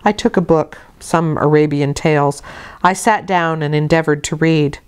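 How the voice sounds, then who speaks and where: A woman reading a literary novel aloud as expressive narration, with measured, deliberate phrasing. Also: low voice, clean tone. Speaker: audiobook reader.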